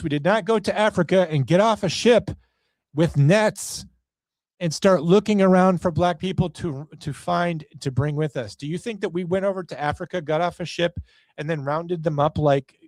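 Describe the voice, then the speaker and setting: American man, political podcast host, slightly high voice, sarcastic and profane, very dry sound. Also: no room sound. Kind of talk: opinionated political monologue.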